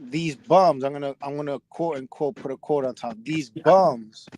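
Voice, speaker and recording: A man's voice: a little high, normal speed, darkish tone. Middle-aged male host, raised voice, storyteller who circles back and restarts, faint hiss behind. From United States. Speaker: podcast host. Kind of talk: casual conversation.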